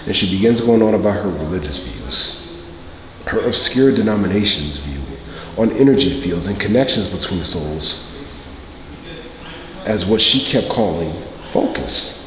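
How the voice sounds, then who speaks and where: A man in his late thirties performing a dramatic stage monologue as a cynical, self-justifying man, speaking in a deliberate, emphatic way. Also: on the low side, natural voice. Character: defensive, cynical man confessing about a one-night pickup. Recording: echoey hall, long reverb, faint room ambience.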